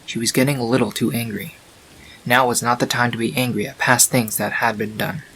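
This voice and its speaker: A man narrating a scripted fan-fiction story in a measured, dramatic storytelling manner. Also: mid-range voice, steady tone, pushed and bright, poor audio. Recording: faint hiss on home recording.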